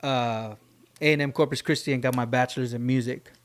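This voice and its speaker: A man's voice: medium pitch, fairly even intonation, a little fast. A man in his thirties with a South Texas accent, chatting casually in informal, slangy speech full of "bro". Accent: South Texas American. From Texas, United States. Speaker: podcast guest, truck driver and classical guitarist. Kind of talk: casual chat.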